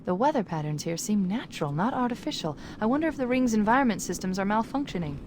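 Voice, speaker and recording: A woman playing a brisk, quick-witted military artificial intelligence in a video game, articulate, confident and wry. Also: mid-range voice, animated, very noisy, close to an everyday voice. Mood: curious, observant. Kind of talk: dramatic video game acting. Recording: music behind the voice.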